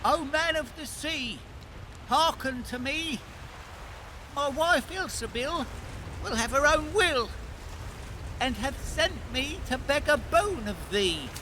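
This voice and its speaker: Man as a high-pitched, meek fisherman husband. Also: expressive, slow pace, long pauses, background noise, full character voice. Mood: pleading chant. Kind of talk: full cast audio fairy tale drama.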